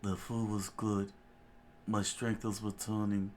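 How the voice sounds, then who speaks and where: A man voicing a ruthless male pirate captain who speaks in a flat, threatening way. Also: on the low side, slow pace, slight background noise. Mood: menacing.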